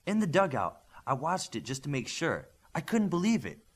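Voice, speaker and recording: A man voicing an eager, wryly friendly young male superhero in animated audiobook dialogue. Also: a little high, normal speed, husky, pitched above the performer's own voice, exaggerated voice. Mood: excited.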